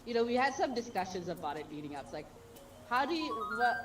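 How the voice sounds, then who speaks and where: A woman's voice: a little high, fast, over music, low-quality recording. A woman, hosting a casual livestream chat and laughing often. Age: 30s. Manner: chatty, lively, laughs often. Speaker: stream host.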